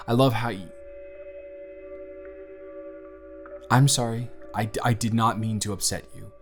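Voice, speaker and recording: Man acting as a caring young boyfriend, lowish voice, gentle, reassuring, affectionate, intimate audio roleplay, music behind. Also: fairly quick, lightly acted. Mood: sincere, affectionate. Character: tender, reassuring young boyfriend comforting his partner. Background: instrumental music.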